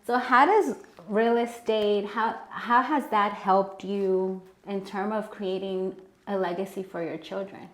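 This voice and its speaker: A woman's voice: medium pitch, steady tone, unhurried, few pauses. A woman hosting an interview, asking questions in a friendly, encouraging way with many "like" fillers. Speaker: podcast host. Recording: echoey room.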